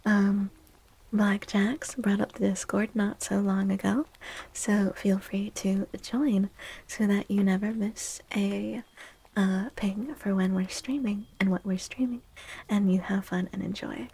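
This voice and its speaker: A woman livestream host speaking in a soft, gentle ASMR style, often half-whispering in a breathy, soothing and affectionate way. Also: medium pitch, steady tone, a little slow, poor audio. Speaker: livestream host doing tarot readings.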